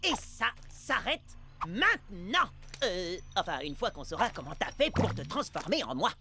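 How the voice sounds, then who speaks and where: An actor voicing an excitable, boastful preteen amoeba boy in a French cartoon dub, stammering when flustered. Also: medium pitch, moderate pace, background noise, lightly acted. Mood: indignant. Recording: music behind the voice.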